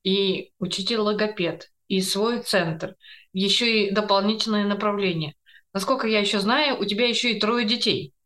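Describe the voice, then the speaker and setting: A woman's voice: medium pitch, moderate pace, a bit bright. A woman hosting a podcast, presenting and putting questions to a guest in an interview. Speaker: podcast host.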